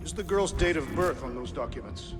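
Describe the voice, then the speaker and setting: An actor playing a big, blunt alien warrior in a video game, speaking formally and deadpan. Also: male voice, mid-range voice, fairly quick, loud music behind the voice, lightly acted. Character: big literal-minded male alien warrior, solemn and blunt. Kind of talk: video game character acting.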